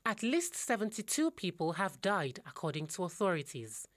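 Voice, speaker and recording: A woman's voice: fairly low pitch, very animated, moderate pace, dry recording, studio quality. A woman presenting a news segment, reading a scripted intro and then questioning a correspondent. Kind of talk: news anchor interview.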